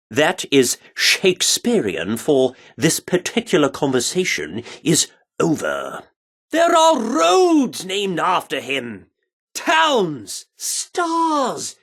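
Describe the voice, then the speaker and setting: An actor playing a pompous, flamboyant theatre troupe director in a video game, with a haughty, grandiloquent, theatrical delivery. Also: male voice, on the high side, a bit bright, breathy edge, exaggerated voice. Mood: haughty, offended, then incredulous, impassioned. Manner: theatrical, grandiloquent, haughty, archaic turns of phrase. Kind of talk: dramatic video game character acting.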